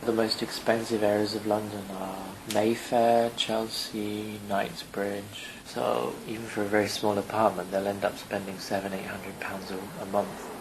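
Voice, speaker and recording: A man's voice: lowish voice, level tone, moderate pace, few pauses. A man working as a real estate agent, answering interview questions in a casual, matter-of-fact way. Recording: field recording with background noise.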